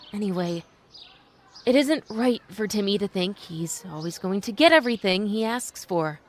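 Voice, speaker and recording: An actress playing a gentle, guarded young mother, proper and hesitant, in an old-time radio drama. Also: mid-range voice, animated, a little slow. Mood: hesitant, warm.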